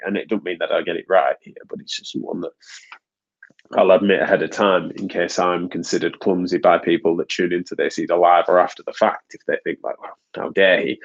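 A man hosting a podcast, a physiotherapist talking casually in a nasal, stuffed-up voice, rambling with frequent restarts. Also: deep voice. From United Kingdom. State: congested, stuffy nose. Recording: dull lo-fi recording.

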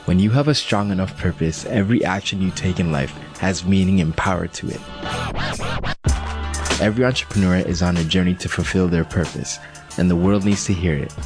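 A man with a very deep voice hosts a podcast, opening with a scripted intro. Faint music plays underneath, and he then questions his guest in a measured, encouraging way. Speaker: podcast host.

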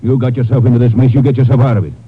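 An actor in an old radio drama playing a gruff, blunt older Texas rancher stepfather. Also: mid-range voice, steady tone, rapid-fire, lightly acted. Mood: angry, fed up. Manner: blunt, scolding, folksy. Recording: muffled narrow-band old radio recording.

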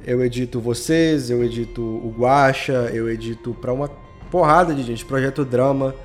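A man's voice: mid-range voice, moderate pace, soft background music. A Brazilian man chatting casually as a podcast guest, explaining his creative work in informal, slangy speech. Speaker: podcast guest, audio drama creator and editor. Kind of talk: casual interview chat.

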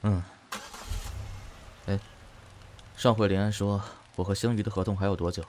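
A man acting in an audio drama as a calm, laid-back young film star who speaks casually in short, unhurried replies. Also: lowish voice, natural voice. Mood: casual, noncommittal.

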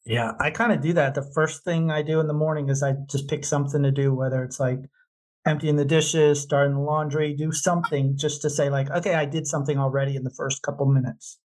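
A man's voice: on the high side, fairly even intonation, brisk, darkish tone. A man with an American accent interviewing a guest casually as podcast host, informal, agreeable and encouraging.

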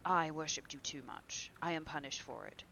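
A woman's voice: low-pitched, normal speed, gravelly, pushed and bright, a little hiss or hum, exaggerated voice, rough recording. A woman voicing a horrified, anguished male painter in a dramatic audiobook, exclaiming and pleading with emphasis. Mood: pleading, grave. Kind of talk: dramatic audiobook character reading.

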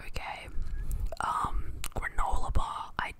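Woman, soft whisper, close mic, slow, chatty, slightly out of breath. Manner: casual, chatty, repeats words softly for ASMR. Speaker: podcast host. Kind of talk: whispered ASMR chat doing online quizzes.

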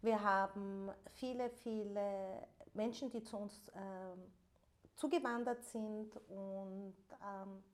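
Young woman co-host, unhurried and deliberate, with many pauses and fillers. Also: mid-range voice, fairly even intonation. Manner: slow, thoughtful, frequent hesitations. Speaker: podcast co-host. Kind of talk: casual chat.

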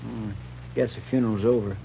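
An actor playing a folksy, deferential deputy in a 1950s radio western, with a humble manner. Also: male voice, on the low side, steady tone, a little fast, creaky, mellow. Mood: subdued, deferential. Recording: muffled, hissy old radio recording.

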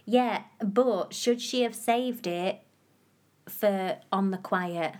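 A woman's voice: medium pitch, slow. A woman co-hosting a podcast in casual chat, teasing her partner playfully. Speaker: podcast co-host.

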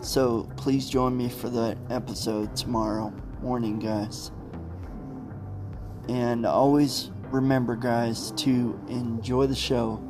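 A man hosting a podcast, informally signing off in short, halting chunks. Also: mid-range voice, flat delivery, over music. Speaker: podcast host.

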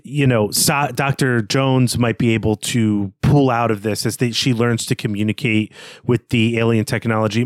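A man's voice: mid-range voice, dry recording. Man thinking aloud, dry close sound. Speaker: podcast co-host. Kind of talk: unscripted literary discussion.

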